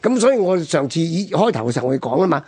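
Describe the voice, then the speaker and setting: A man with a gravelly, husky voice and a Hong Kong Cantonese accent, discussing history conversationally as a radio host. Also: on the high side, very animated, rapid-fire, a little mellow.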